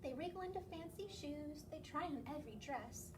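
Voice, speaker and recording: A woman's voice: high-pitched, expressive, normal speed. A woman hosting a children's story time, reading aloud and talking to young listeners with questions and encouragement. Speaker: library story time host. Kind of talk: children's read-aloud and singalong. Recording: distant mic, clearly noisy background.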